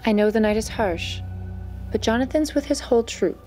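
A woman's voice: fairly high pitch, moderate pace, a little mellow, soft background music, natural voice. A woman playing a guarded, protective older sister in her thirties in a period audio drama. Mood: anxious reassurance. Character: guarded, protective elder sister, thirty-two, nineteenth century.

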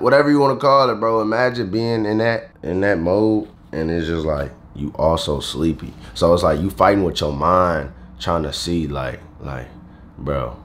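Young male vlogger, just woke up, low groggy voice, loose slangy rambling, faint room hiss. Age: young adult. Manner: slangy, rambling, lots of fillers. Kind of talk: casual vlog talking to camera. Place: bedroom at home, indoors.

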